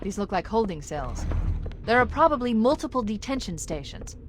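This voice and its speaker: A woman playing a composed, analytical female military AI in a sci-fi game, briefing crisply and precisely. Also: on the high side, big pitch swings, moderate pace. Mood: focused, briefing. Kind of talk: cinematic sci-fi game acting. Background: loud cinematic game music.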